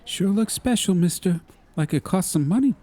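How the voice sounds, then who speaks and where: A man's voice: high-pitched, lively intonation, normal speed, noisy background, pitched above the performer's own voice. A man voicing a streetwise young racer who is cool-headed, wry and teasing, in an audiobook story. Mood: casual needling. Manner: wry, teasing, falsely humble.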